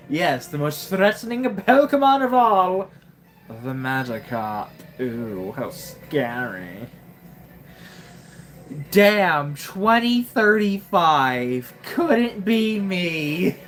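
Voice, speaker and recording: A woman's voice: fairly low pitch, very animated, very slow. A woman in her twenties casually commenting on her gameplay during a live stream, wry and joking, often exclaiming to herself. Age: 20s.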